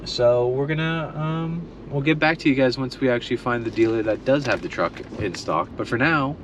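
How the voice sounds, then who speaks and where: A man's voice: mid-range voice, moderate pace, background noise. A man talking casually to viewers as a family vlogger, in an informal, chatty way. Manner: informal, addresses viewers as guys. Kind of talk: casual vlog chat to viewers.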